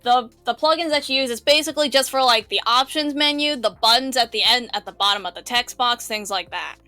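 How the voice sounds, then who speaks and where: A woman's voice: fairly high pitch, brisk, pushed and bright, no echo, faint music behind. A woman giving a casual tutorial, explaining step by step in an eager, affirming way. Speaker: visual novel tutorial teacher.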